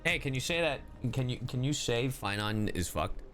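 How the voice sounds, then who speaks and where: A man's voice: medium pitch, expressive, moderate pace, fairly bright tone, soft background music. A man livestreaming a game, bantering casually in a playful, irreverent, sweary way. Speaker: game streamer.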